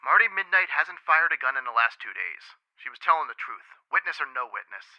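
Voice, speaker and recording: A man playing a brisk, blunt and excitable police sergeant in the manner of 1950s radio detective drama. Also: medium pitch, highs cut off. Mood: urgent.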